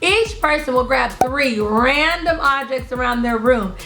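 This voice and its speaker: High-voiced woman hosting in an echoey room. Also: lively intonation, measured pace. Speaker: online video host. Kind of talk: upbeat explainer of party games to camera. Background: music in the background.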